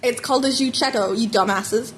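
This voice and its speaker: A hot-tempered, exasperated teenage boy voiced by a woman talks bluntly in a high voice. It is a comic audiobook reading with clear noise in the background. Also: a little fast, lightly acted. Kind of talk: amateur audiobook character reading. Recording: home recording with background noise.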